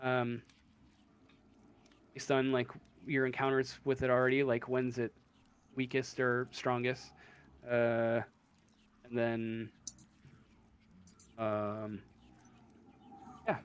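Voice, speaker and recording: A man's voice: mid-range voice, little pitch movement, slow, pauses often, smooth. A man acting as game master, casually explaining a tabletop roleplaying game in a hesitant way, as if thinking aloud.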